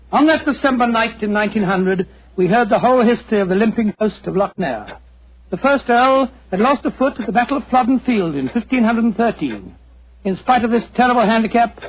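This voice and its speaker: A man playing a genial old British retired doctor, telling stories in an avuncular, theatrical 1940s radio style. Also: high-pitched. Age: older. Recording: noisy, muffled, crackly 1940s radio recording.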